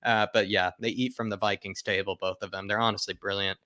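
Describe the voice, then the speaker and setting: A man with a fairly low, forceful voice hosts a football fan livestream. He talks casually and directly to viewers and often repeats phrases for emphasis. The sound is dry and close. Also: little pitch movement, fast talker, no room sound. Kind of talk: casual live fan chat, reading viewer comments aloud.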